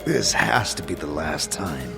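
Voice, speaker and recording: An actor playing a battered, weary but determined man in an audio drama, with a gruff voice and an exasperated, groaning manner. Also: low-pitched, sing-song, unhurried, over music, exaggerated voice. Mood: weary resolve.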